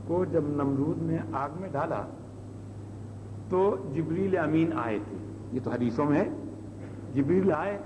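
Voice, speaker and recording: Guy giving an islamic sermon, kinda high voice, repeats points, big reverb on an old tape. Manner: explanatory, with rhetorical questions and repetition. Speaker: Islamic preacher. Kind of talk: sermon, explaining religious stories. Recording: old noisy cassette, long hall reverb.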